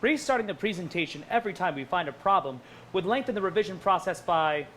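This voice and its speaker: Man as a high-pitched, officious logical assistant. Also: animated, fast, a bit bright, a little hiss or hum. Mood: precise, officious. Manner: precise, formal. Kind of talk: comic stage parody acting.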